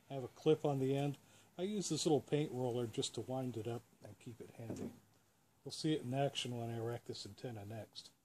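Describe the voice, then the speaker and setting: A man's voice: mid-range voice, warm tone. A man with an American accent gives a casual, plain-spoken how-to demonstration as a hobbyist host, explaining step by step. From United States. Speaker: host, radio hobbyist demonstrating a home-built antenna. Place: outdoors beside a parked car. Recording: clear background noise, outdoor ambience.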